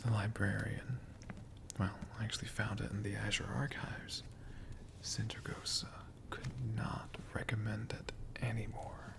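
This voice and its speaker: A man in a friendly dragon persona, telling stories in a slow, hushed ASMR whisper with playful asides to the listener. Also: on the low side, little pitch movement, creaky.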